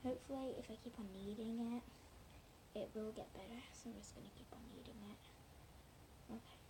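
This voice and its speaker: A fairly high-pitched woman speaks over clear background noise in an amateur home recording. Her voice is hoarse and giving out, often fading to a breathy whisper. She talks informally and a little apologetically, as if showing something to viewers while she works. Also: fairly even intonation, brisk. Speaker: slime video maker talking to viewers. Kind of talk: casual hands-on demonstration chat to camera. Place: at home indoors.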